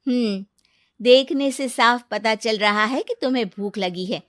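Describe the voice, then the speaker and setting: Narrator doing a kind motherly young woman, highish voice, cartoon story, close dry sound. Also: unhurried, a bit bright, dry recording. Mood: caring.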